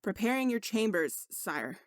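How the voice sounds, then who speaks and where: A woman's voice: mid-range voice, big pitch swings, a little slow, dry recording, clean studio sound. Woman reading as a humble, wry male servant.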